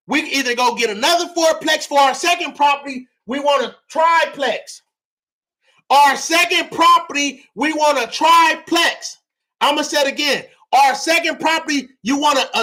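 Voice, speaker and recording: Man, very high voice, bright and forceful, loud lecture style, keeps checking the chat is with him, voice getting strained. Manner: loud, often yelling, repeats phrases, asks the audience for replies. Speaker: livestream real estate teacher. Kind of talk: fired-up livestream lecture.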